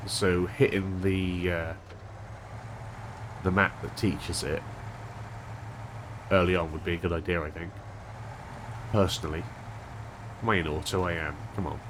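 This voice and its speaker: A man hosting a gaming channel, chatting casually over gameplay with a stuffed-up, unwell voice, thinking aloud with a monotone delivery. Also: low voice, measured pace, long pauses, noisy background. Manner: rambling, thinking aloud with restarts.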